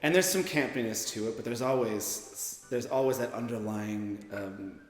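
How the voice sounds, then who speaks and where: A man's voice: lowish voice, moderate pace. A man talking casually in an interview as a performer and writer. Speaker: radio drama writer and performer. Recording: echoey, reverberant hall.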